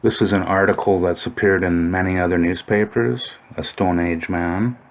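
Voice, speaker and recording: A man's voice: deep voice, fairly even intonation, moderate pace. A man giving informal explanatory commentary on old photos, musing and thinking aloud as he goes. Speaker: video narrator.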